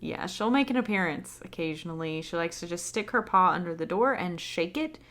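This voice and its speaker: A woman's voice: lowish voice, lively intonation, a little fast, a bit bright. Giggly, blunt woman podcaster. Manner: giggly, blunt, swears casually, frequent fillers. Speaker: podcast co-host. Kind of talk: casual chat. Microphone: home recording setup.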